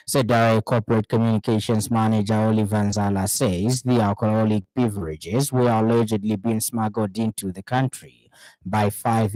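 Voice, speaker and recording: A man reading a scripted radio news bulletin in a steady, formal manner from a studio. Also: fairly low pitch, dry recording, poor audio. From Zambia. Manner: steady formal newsreading, lists read item by item. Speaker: news anchor.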